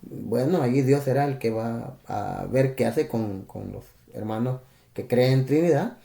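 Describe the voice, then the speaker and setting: A man's voice: medium pitch, a little slow, creaky, warm tone, reverberant. A man from El Salvador with a Salvadoran Spanish accent, a pastor preaching in a conversational way on a live stream, with rhetorical questions and asides to his listeners.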